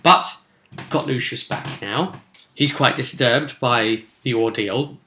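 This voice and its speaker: A man's voice: mid-range voice, takes it slowly, sharp tone, a little hiss or hum. A man chattily recapping a tabletop role-playing session as its game master, telling it like an informal story. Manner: chatty, storytelling, acting out brief bits of dialogue. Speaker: game master and vidcast host. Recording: roomy, echoing sound.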